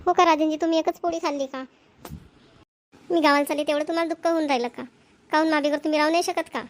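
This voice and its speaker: A woman as high-voiced, caring young wife. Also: steady tone, quick pace, close to an everyday voice. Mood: affectionate. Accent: Varhadi Marathi, Vidarbha. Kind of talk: cartoon family comedy dialogue.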